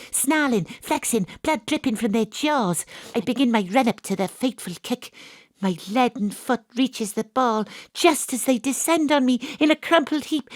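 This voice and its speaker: Woman narrating with brisk wry self-assurance. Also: sits high, no echo, studio quality. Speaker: narrator and lead detective. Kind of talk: comic first-person radio play narration.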